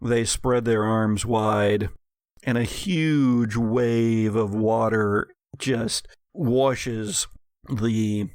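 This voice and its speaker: An American man working as game master, narrating a gothic tabletop game in a measured, dramatic storyteller's delivery. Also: fairly low pitch, fairly even intonation, dry recording, studio quality.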